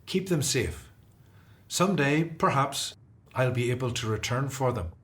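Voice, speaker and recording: A man plays a runaway Hebrew prince in a radio drama. He speaks with devout earnestness and respect. His pitch is average for a man. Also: expressive, unhurried, a bit bright. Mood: earnest, solemn. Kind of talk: scripted radio drama acting.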